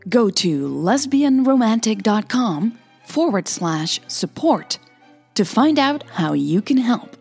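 A native Dutch-speaking woman with a husky voice, narrating a scripted podcast in a measured way. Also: fairly high pitch, sing-song, a little mellow, faint music behind. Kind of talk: scripted audio story narration.

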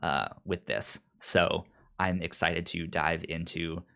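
A man talks casually as a podcast co-host, his voice fairly low and his delivery slow. He stutters heavily, repeating the first sounds of words many times before getting them out. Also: fairly even intonation, vocal fry, bright, forceful tone, clear, resonant tone.

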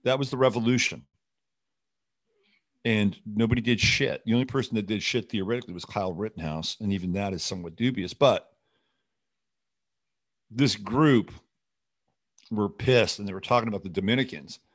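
A man's voice: lowish voice, normal speed, pauses often. A man hosting a show with rambling, skeptical, sardonic and often profane unscripted political commentary.

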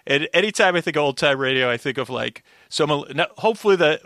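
A man chatting casually as a podcast guest, telling things in a halting, rambling way and circling back mid-sentence. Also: fairly high pitch, expressive, a bit bright, no echo, clean studio sound. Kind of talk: casual interview chat, storytelling.

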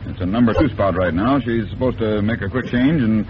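An actor playing a discreet, uneasy theater manager in an old radio crime drama, speaking formally and hesitantly. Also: male voice, lowish voice, brisk, lightly acted. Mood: grave, explanatory. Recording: dull, noisy vintage radio recording.

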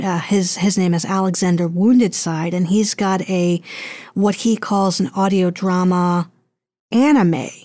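Low-pitched woman in casual, hesitant interview talk. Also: mellow, no room sound. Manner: hesitant, searching for words, rambling. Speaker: interview guest, audio drama producer.

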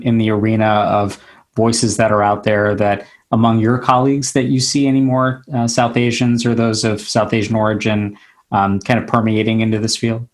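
A man with a low voice hosting an interview podcast. He asks long, winding questions full of ums and restarts, and chimes in with short agreements while his guest talks. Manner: rambling questions with fillers, agreeable backchannels. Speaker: podcast host.

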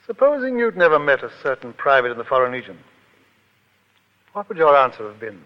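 An actor playing a suave, courtly, very wealthy suitor in a 1930s radio drama, with polished, formal, gallant speech. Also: male voice, medium pitch, very animated, fairly quick, close to an everyday voice. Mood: courtly, wooing. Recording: vintage 1930s radio, dull, hall reverb.

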